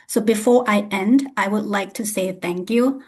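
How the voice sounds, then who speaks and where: A woman's voice: medium pitch, moderate pace, husky. A woman from Thailand with a Thai accent, an international student giving an earnest prepared self-introduction. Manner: earnest and grateful, slightly non-native grammar.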